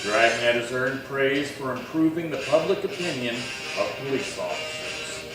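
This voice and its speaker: A male announcer reads scripted narration for a radio show. His mid-range voice is formal and measured, set back from the mic in a very echoey space with music playing. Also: mid-range voice. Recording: echoey room, distant mic.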